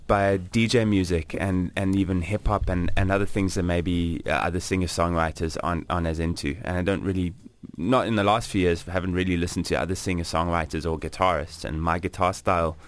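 Deep-voiced man, young, from south africa, musician guest, halting and full of fillers. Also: fairly even intonation. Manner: hesitant, many fillers and restarts. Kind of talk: casual radio interview.

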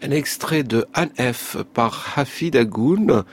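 Man, radio interviewer, husky voice, composed, reads quotes to the guest. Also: medium pitch, lively intonation, takes it slowly.

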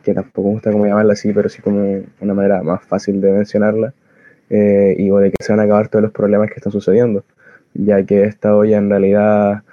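A man with a Chilean accent speaking informally and earnestly as a community volunteer, halting as he searches for words. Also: on the low side, no room sound. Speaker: community soup kitchen volunteer. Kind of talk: informal spoken explanation of activism. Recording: dull lo-fi close recording.

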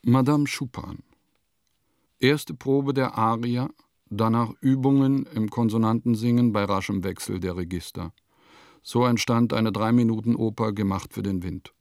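An actor playing an eccentric village composer, declaiming lists, notes and diary entries in a scripted radio play. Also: male voice, fairly low pitch, moderate pace, a little mellow, no room sound, clean studio sound, lightly acted. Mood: matter-of-fact.

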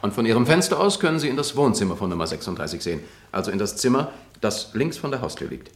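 Fast-talking, precise male prosecutor, formal radio courtroom drama, echoing room. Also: lowish voice, very animated. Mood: methodical.

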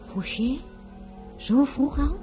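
A woman playing the proud, sharp-tongued heroine of a radio play, narrating and speaking with cool, cutting restraint. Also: high-pitched, slow, soft background music. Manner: cool, cutting, sometimes whispered. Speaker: narrator and lead role.

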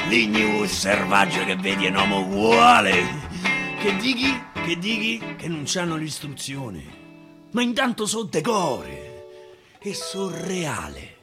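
A man with a Roman accent reciting dialect verse theatrically, swinging from loud declaiming to a hushed whisper. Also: high voice. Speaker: actor reciting dialect poetry. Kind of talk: theatrical poetry recitation for radio. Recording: live guitar accompaniment.

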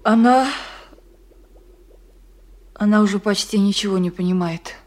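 An actress playing a weary, despairing mother in a radio drama, speaking haltingly and with resignation. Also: medium pitch, a little mellow, lightly acted. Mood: bitter, resigned. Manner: halting, repeats phrases, resigned.

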